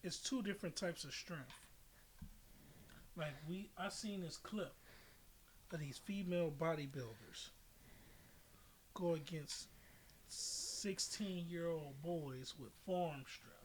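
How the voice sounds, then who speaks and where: Male American podcast host, slightly high voice, casual opinionated sports talk, light background noise. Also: takes it slowly, long pauses. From Chicago, United States. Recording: noisy amateur podcast audio.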